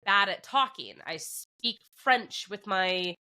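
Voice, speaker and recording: A woman from Canada with an English Canadian accent, co-hosting a podcast in chatty, animated storytelling. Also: mid-range voice, slow pace, clean tone. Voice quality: drops P sounds at the start of words. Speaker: podcast co-host. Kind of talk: casual chat.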